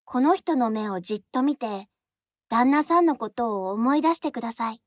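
An actress playing an earnest, polite high-school girl assistant with a husky voice in an audio drama. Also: lively intonation, normal speed, no room sound, studio quality. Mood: calm, reassuring.

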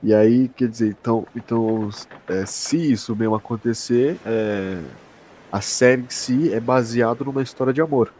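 A man's voice: medium pitch, fairly even intonation, moderate pace, warm tone, slight background noise. Male co-host, young, Brazilian accent, medium register, loose informal talk with slang and swearing. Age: young adult. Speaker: podcast co-host. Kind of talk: casual chat.

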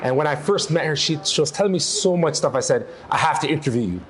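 A man's voice: on the high side, animated, quick pace. Man hosting a casual podcast interview. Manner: chatty, interjects with short affirmations. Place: busy convention center, recorded on location.

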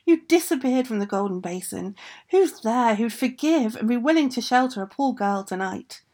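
A woman's voice: sits high, lively intonation, a little mellow, pitched above the performer's own voice. Woman reading a lost, pleading young heroine from a folk tale. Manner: slow, pleading, raised voice.

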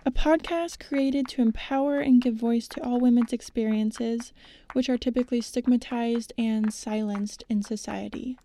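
A woman's voice: fairly high pitch, fairly even intonation, moderate pace, dark tone. A woman hosting a podcast, reading an earnest, upbeat introduction. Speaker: podcast host. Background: music.

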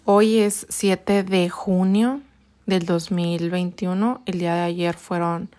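Mexican woman, late twenties, podcast host; slow, halting personal storytelling, low-set voice. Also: flat delivery, dark tone. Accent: Mexican Spanish. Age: 20s. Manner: slow, hesitant, with many restarts and fillers.

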